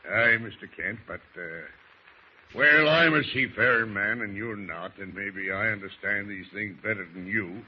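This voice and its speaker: An actor playing a scheming, folksy seafaring ship's master who speaks in salty dialect in an old radio drama. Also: male voice, on the low side, a little slow, natural voice. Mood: wheedling, folksy. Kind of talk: 1940s radio drama acting. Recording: muffled, noisy old radio recording.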